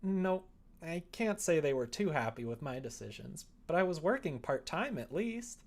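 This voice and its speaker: A man's voice: fairly high pitch, sing-song, normal speed, voice pushed up. A man voicing an easygoing, aimless but kind young woman in a visual novel, slightly sheepish. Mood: casual, sheepish.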